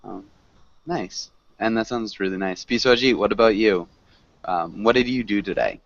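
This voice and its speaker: A man teaching a conversational online group class, encouraging and gently correcting learners. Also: fairly low pitch, normal speed, vocal fry, no echo. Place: group video call. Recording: muffled, lo-fi online call audio.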